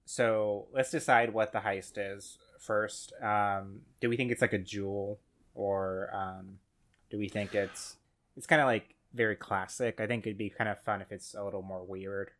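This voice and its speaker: A man co-hosting a podcast in casual comedic chat, halting and brainstorming with many fillers. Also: fairly low pitch, fairly even intonation, a bit bright. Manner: halting, lots of ums and likes, brainstorming. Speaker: podcast co-host.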